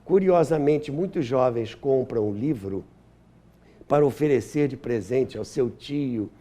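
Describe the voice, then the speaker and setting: A man's voice: medium pitch, expressive, unhurried, warm tone. An older man with a Brazilian accent, reminiscing as an interview guest and searching for words here and there. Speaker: interview guest, historian and author.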